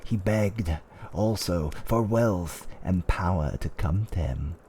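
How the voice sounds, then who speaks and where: A man playing an older fantasy tavern owner, telling a dark fireside tale in a theatrical, suspenseful roleplay ASMR style. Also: low-pitched, slight background noise. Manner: theatrical, archaic wording, drawn-out suspenseful delivery.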